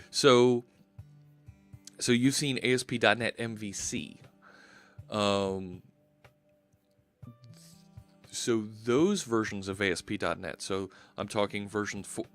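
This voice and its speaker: A man's voice: fairly low pitch, a bit bright. A man in his forties with a Philadelphia accent, hosting a casual coding live stream through a headset and thinking aloud with lots of fillers. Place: home studio, indoors.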